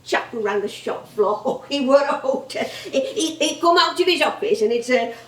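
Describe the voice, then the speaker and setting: A woman's voice: sits high, expressive, moderate pace, a bit bright. A woman playing an elderly music-hall actress with a Northern English accent, chatty and rambling, proud and nostalgic in a stage drama. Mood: chatty, amused. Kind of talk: theatrical monologue-like reminiscing. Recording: roomy, distant stage recording.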